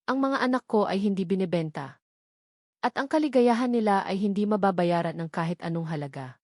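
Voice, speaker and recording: A woman's voice: mid-range voice, fairly quick, a little mellow, dry recording, studio quality, lightly acted. A woman reading a shaken, defiant young mother. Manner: trembling but firm. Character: frightened but defiant young single mother, deferential yet proud.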